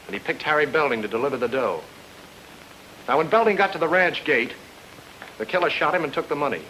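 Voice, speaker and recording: Actor as a sardonic hard-boiled detective, noisy old recording. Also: male voice, medium pitch, sharp tone, natural voice. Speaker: actor in a radio detective drama. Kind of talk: old-time radio noir acting.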